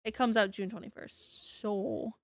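A woman's voice: medium pitch, flat delivery, unhurried, dry recording, clean studio sound. A young woman co-hosting a book podcast, giggly and jokey, swearing casually, a little congested. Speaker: podcast co-host. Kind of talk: casual chat.

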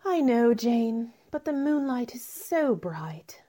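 Woman as a proud, lively-pitched young gentlewoman. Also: slow pace, exaggerated voice. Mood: distracted. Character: proud, witty young gentlewoman, coolly polite. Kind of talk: old-fashioned radio drama acting.

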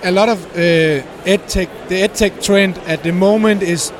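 A man describing his startup in a conference interview, hesitant with many pauses and non-native phrasing. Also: high-pitched, steady tone. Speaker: guest, startup founder. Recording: noisy conference floor.

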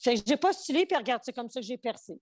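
A woman's voice: on the high side, expressive, fast talker, darkish tone, slight background noise. A woman in her forties with a Québécois French accent, a flight attendant chatting in an interview, full of fillers and restarts. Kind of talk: casual interview chat.

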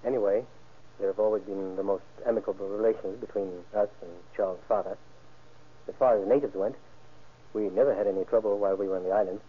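Guarded husky businessman, tinny old radio. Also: fairly low pitch, steady tone, fast. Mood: reluctant, explanatory. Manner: polite, hesitant, carefully explanatory. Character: guarded middle-aged businessman, a widower being questioned. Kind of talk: old-time radio crime drama acting. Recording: thin, muffled old radio recording.

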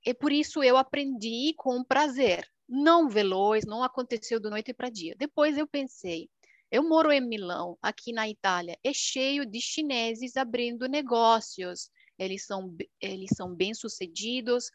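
A young woman from Romania, a native Romanian speaker with a Romanian accent, chatting casually in an expressive, animated way. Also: fairly high pitch, measured pace, no room sound. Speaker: language video creator. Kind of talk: casual chat.

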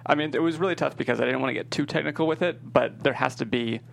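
A man with a heavily fried, gravelly voice gives casual interview answers as a screenwriting guest. He talks quickly and explains his points conversationally, at a middling pitch. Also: slight background noise.

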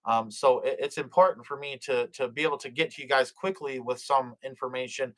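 A man's voice: medium pitch, fairly even intonation, a little fast. A middle-aged man hosting a live stream, reading the chat aloud, blessing viewers and praying, with plenty of fillers and restarts. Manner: hesitant, many fillers and restarts.

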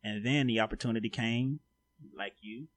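A young man from Louisiana, hosting a podcast in a loud, joking hype-man way. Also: mid-range voice, steady tone, moderate pace, no room sound. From Louisiana, USA. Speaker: podcast host. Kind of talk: casual chat.

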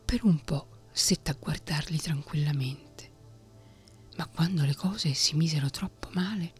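A woman whispering a fairy tale in a soft ASMR reading. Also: low voice, measured pace, soft background music. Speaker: storyteller.

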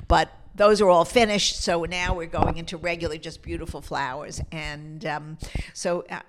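Low-pitched older New woman, opinionated. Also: fairly even intonation, normal speed, background noise. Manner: opinionated, emphatic. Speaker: podcast co-host. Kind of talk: casual chat.

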